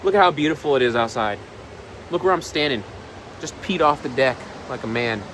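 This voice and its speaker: A man's voice: mid-range voice, lively intonation, fairly quick, fairly bright tone, slight background noise. A man of twenty talking casually to camera in a rambling vlog, repeating himself and swearing. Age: 20s. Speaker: vlogger. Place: outdoors on a balcony.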